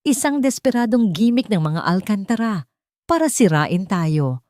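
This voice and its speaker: A woman voicing a proud, angry middle-aged mother who gives sharp, commanding orders in a radio drama. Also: medium pitch, big pitch swings, measured pace, no echo, studio quality, voice pushed up. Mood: furious.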